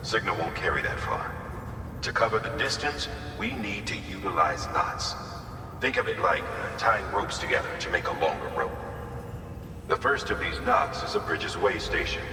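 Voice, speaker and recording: An actor playing an earnest, authoritative leader briefing his courier in a measured, assured way in a video game. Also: low voice, noisy background. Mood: instructive. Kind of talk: cinematic video game acting. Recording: music behind the voice.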